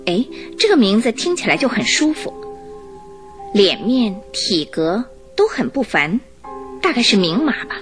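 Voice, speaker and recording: A woman narrating a scripted radio drama in an expressive storytelling manner. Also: mid-range voice.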